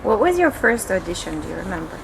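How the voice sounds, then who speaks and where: A woman's voice: lowish voice, very animated, fast talker, creaky, a little hiss or hum, rough recording. A woman hosting an interview, asking upbeat questions and laughing often. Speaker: interview host.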